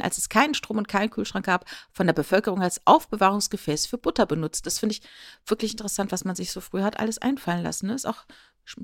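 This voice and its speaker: A woman's voice: medium pitch, no room sound, clean studio sound. A woman chatting casually as a podcast co-host.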